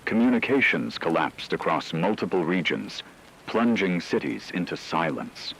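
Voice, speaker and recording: A man playing a grave wartime radio news anchor, reading a scripted emergency broadcast in a solemn, measured, formal way. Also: low voice, expressive, gravelly, fairly bright tone, a little hiss or hum, full character voice, rough recording. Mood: grim, solemn.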